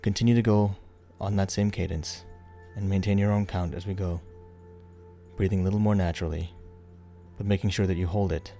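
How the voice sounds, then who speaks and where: A man with a deep, relaxed voice narrates a guided meditation. He gives soothing, unhurried instructions and leaves space between phrases. Soft music plays behind him. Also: gravelly. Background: background music.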